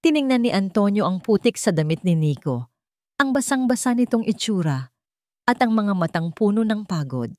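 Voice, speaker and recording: A woman's voice: medium pitch, sing-song, normal speed, warm tone, dry recording, clean studio sound. A woman narrating a scripted dramatic story as its storyteller.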